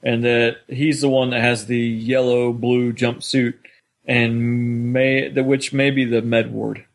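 A man's voice: medium pitch, level tone, takes it slowly, gravelly. Man co-hosting a casual podcast. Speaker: podcast co-host. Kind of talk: casual discussion of an audio drama.

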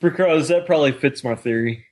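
A man's voice: on the high side, a little fast. A man co-hosting a podcast, chatting casually as a fan about a story. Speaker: podcast co-host.

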